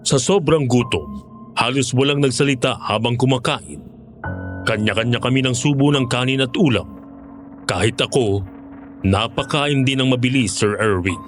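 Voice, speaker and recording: Filipino man narrating horror over music. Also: medium pitch. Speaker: narrator of a horror audio drama. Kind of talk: first-person scripted horror storytelling in Tagalog.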